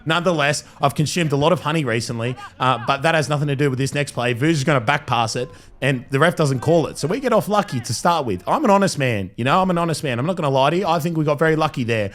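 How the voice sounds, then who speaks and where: Fields: Man. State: hoarse. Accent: Australian. Pace: fast. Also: fairly high pitch, animated. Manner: chatty, upbeat, direct to viewers. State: losing his voice. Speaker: club vlogger and coach. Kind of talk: voice-over match commentary.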